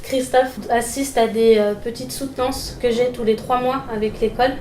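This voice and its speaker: Young woman, apprentice, soft and halting, roomy echo. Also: a little high, steady tone, noisy background.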